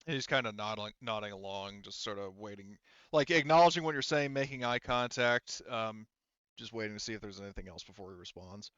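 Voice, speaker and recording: Man, game master, lowish smooth voice, rambling casual explanations, dry muffled recording. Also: a little fast, fairly bright tone, no echo.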